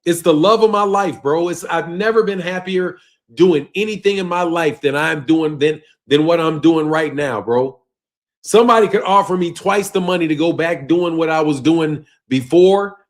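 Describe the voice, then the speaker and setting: High-voiced man in his fifties ranting casually. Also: normal speed. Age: 50s. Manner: loose and emphatic, heavy on "bro" and "man", trailing off low at phrase ends. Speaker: boxing channel host. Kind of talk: casual live-stream rant reading chat.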